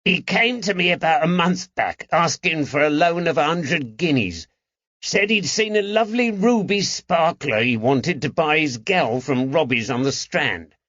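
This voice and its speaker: A man's voice: fairly high pitch, animated, measured pace, fairly bright tone, no room sound, dull audio, voice pushed up. A man voicing a snivelling, indignant Cockney moneylender in an audiobook, whining and pleading defensively. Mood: defensive, wheedling. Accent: London Cockney. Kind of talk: audiobook character acting.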